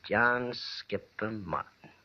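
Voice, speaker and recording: Low, nasty, taunting gangland enforcer. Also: male voice, sharp tone, exaggerated voice. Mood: smug menace. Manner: mock-friendly, taunting, drawn-out. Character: menacing, smug gambler's enforcer with a gun. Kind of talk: 1940s radio crime drama acting. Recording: dull old radio recording.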